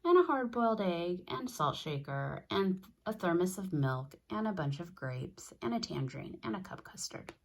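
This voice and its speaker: A woman's voice: fairly low pitch, big pitch swings, normal speed, few pauses. A contented young schoolboy from a storybook, read out by a woman. He is orderly and proud, listing things with care. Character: contented schoolboy proud of his neat lunch. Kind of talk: children's storybook read-aloud.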